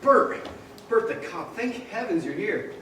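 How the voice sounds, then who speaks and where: A man's voice: sits high, animated, measured pace, a bit bright. A man acting a frantic, bewildered family man in a stage radio play, agitated and pleading. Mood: desperate pleading. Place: live stage in a large echoing hall. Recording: echoey hall from far off, noisy.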